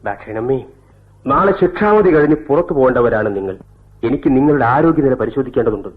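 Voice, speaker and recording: A composed prison doctor played by a male actor in a radio drama, with a medium-pitched voice. He speaks in a measured, formal way with stern admonishment. The recording is slightly dull and lo-fi. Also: lively intonation, close to an everyday voice. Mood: firm. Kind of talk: theatrical radio drama acting.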